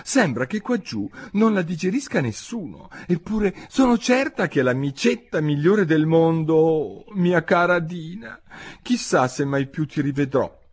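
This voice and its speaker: A man's voice: medium pitch, very animated, normal speed, few pauses, breathy edge, voice pushed up. A man voicing a curious, naive little girl from a children's storybook, prim and earnest. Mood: melancholy.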